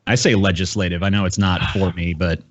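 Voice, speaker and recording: A man's voice: low-pitched, steady tone, brisk. A man hosting a live podcast, casually reading out viewer messages and chatting about them. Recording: slightly dull, lo-fi stream audio.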